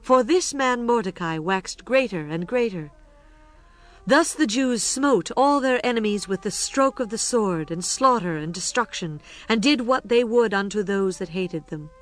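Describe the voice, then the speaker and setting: A woman with a medium-pitched voice narrates scripture from a script. Her delivery is formal and measured, with plucked guitar music playing behind her. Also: animated.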